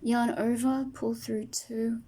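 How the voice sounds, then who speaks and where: A woman teaching crochet step by step, patient and soft-spoken, her voice tired and fading from a long day of talking. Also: fairly high pitch, little pitch movement, slow. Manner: soft, patient, talks through each stitch. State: losing her voice. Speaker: crochet tutor. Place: home table, indoors.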